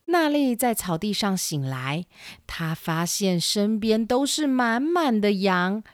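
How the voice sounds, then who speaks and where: A woman's voice: medium pitch, very animated, slow. A woman narrating a scripted children's story as the storyteller. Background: classical music.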